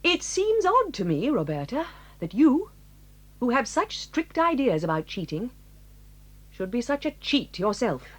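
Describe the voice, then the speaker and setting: An actress playing a stern, dignified headmistress who reproves pupils in a measured way, in a 1980s audio drama. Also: female voice, fairly high pitch, sing-song.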